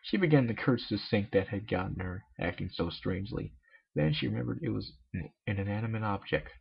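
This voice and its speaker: A man's voice: lowish voice, animated, a little fast, vocal fry, breathy edge. A man narrating a story as an amateur reader, with joking asides, on a dull lo-fi home recording. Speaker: amateur fan fiction reader.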